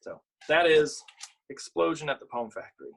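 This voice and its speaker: A man with a slightly husky voice, an author and illustrator sharing picture books at a virtual storytime in an informal way. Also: fairly high pitch, slight background noise. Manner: informal, halting when chatting. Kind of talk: virtual storytime, reading aloud and chatting about the books.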